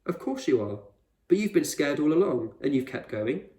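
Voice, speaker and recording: A man voicing an eccentric, proud male explorer in a storybook, speaking with measured authority and reassurance. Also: fairly high pitch, very animated, warm tone, pitched above the performer's own voice. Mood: encouraging. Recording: roomy echo, distant microphone.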